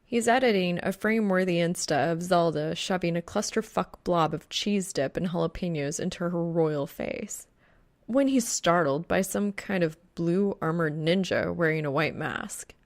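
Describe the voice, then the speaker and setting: A woman's voice: fairly low pitch, moderate pace. A woman reading a piece of fan fiction aloud as scripted audiobook narration.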